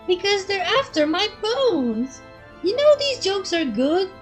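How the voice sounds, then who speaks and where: A woman voicing a hyper, mischievous young female cartoon pony with an excitable, squealing delivery. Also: very high voice, a little slow, faint music behind, pitched above the performer's own voice, exaggerated voice. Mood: playful.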